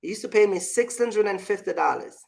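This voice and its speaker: A man's voice: high-pitched, brisk, vocal fry, darkish tone. A man talking casually as a vlog host, giving business advice and personal stories in an emphatic, repetitive way, often checking in with the listener.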